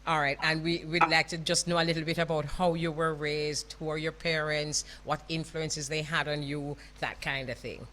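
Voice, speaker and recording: A woman's voice: low voice, level tone, moderate pace, hardly any pauses, pushed and bright, slight background noise. A woman with a Jamaican accent hosting a radio show, chatting casually, often laughing and calling people love. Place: radio studio.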